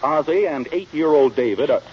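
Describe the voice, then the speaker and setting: A man's voice: fairly high pitch, expressive, moderate pace. A man reading scripted introductions as an announcer in 1940s radio style. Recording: muffled old radio recording, faint hiss.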